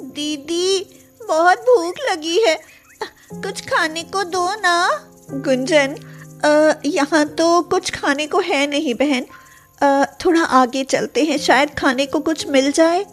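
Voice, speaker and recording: A woman's voice: high voice, slow pace, soft background music. A woman voicing poor, innocent young sisters in a childlike, earnest manner for a children's story. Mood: plaintive.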